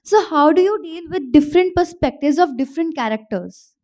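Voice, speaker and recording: A woman's voice: high-pitched, lively intonation, a little fast, warm tone. A young woman, politely and hesitantly asking an author a question. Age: young adult.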